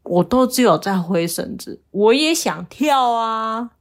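A woman's voice: on the high side, expressive, slow. A woman voicing a grumpy, lonely tiger in a bedtime story, whining and exclaiming. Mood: eager. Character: grumpy, easily angered but lonely tiger wanting friends.

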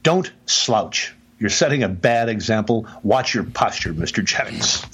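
A man's voice: lowish voice, a little slow, slightly rough, low-quality recording. A man hosting a podcast, giving emphatic business advice in a conversational way and often saying "I mean.". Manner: emphatic, animated, repeats "I mean". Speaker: podcast host, business speaker.

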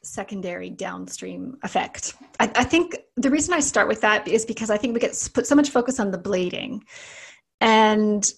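Middle-aged Canadian woman with gravelly fry. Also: medium pitch, expressive, moderate pace. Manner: chatty and explanatory, with frequent restarts. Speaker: guest, naturopathic doctor. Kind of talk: casual interview talk.

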